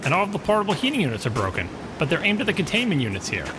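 A man's voice: on the high side, wildly swooping pitch, background noise. An actor playing a curious, eager male crewman on an undersea rescue team in an audio drama. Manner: quick, curious, questioning.

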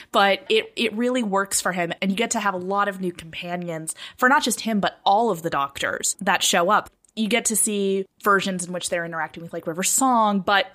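A woman's voice: medium pitch, a bit bright. A woman talking casually and enthusiastically as a podcast panelist, in a chatty way.

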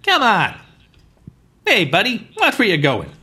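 A man playing a gruff, impatient working-class caterer with colloquial grammar in an old-time radio parody. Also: high-pitched, wildly swooping pitch, measured pace, sharp tone, full character voice. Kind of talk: parody of 1940s radio drama.

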